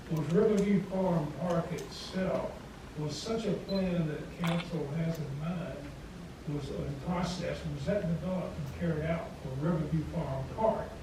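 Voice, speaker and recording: A man's voice: on the high side, noisy background. A man asking pointed, insistent questions at a public meeting. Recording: echoey hall picked up from far off.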